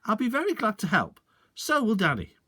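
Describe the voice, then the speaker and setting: A man voicing a calm, mischievous father. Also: high-pitched, a little fast, slightly rough, dry recording, voice pushed up, exaggerated voice. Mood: polite.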